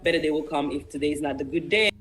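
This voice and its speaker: A man's voice: on the high side, fast, fairly bright tone, soft background music. A young man, a native French speaker, talking earnestly and casually as a singer interviewed on the radio. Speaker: independent singer-songwriter, interview guest.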